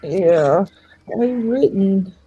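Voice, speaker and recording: Slow, hesitant woman gamer. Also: medium pitch, lively intonation, warm tone, slightly rough, muffled recording. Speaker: tabletop game player. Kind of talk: casual, unscripted in-game chat.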